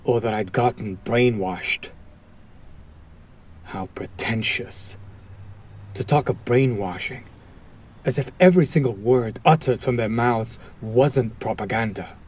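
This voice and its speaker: Slow-talking fallen hero turned villain, acted by a man. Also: medium pitch, breathy edge. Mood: mocking, sardonic. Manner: deliberate, sardonic, theatrical, with long pauses. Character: bitter fallen hero turned villain, protective of former sidekick. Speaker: voice actor. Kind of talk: dramatic audio roleplay monologue addressed to listener.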